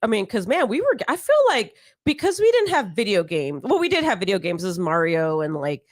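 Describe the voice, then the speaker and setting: A woman's voice: mid-range voice, big pitch swings, fairly quick, no echo, clean studio sound. A woman in her thirties with a playful, giggly manner, chatting casually as host of a live talk. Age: 30s. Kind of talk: casual live chat with co-hosts.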